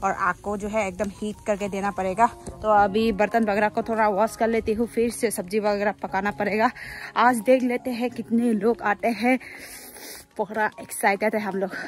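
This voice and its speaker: A woman's voice: mid-range voice, steady tone, moderate pace, faint music behind. A young woman with a Northeast Indian accent narrating a casual cooking vlog, recorded on a handheld camera at a rural home. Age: young adult. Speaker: vlogger. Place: rural bamboo house, kitchen and yard. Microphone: phone or camera at arm's length.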